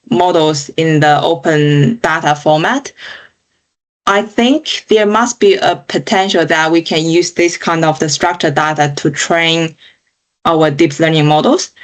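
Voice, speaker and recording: A woman from China, a podcast guest speaking thoughtfully and often saying "you know" and "kind of". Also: low-pitched. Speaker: guest, digital engineer. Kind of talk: casual interview chat.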